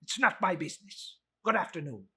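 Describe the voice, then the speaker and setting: A man's voice: high-pitched, very animated, fairly quick, bright, forceful tone, husky, exaggerated voice. A man playing a cold, miserly old businessman in a storybook reading, curt and scornful.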